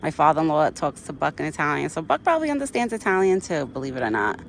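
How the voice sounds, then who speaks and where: A middle-aged woman chatting casually outdoors to her viewers. She keeps breaking into high sing-song baby talk for an animal. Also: sing-song, fast, creaky, a little hiss or hum. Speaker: vlogger talking to a wild deer. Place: outdoors in a yard.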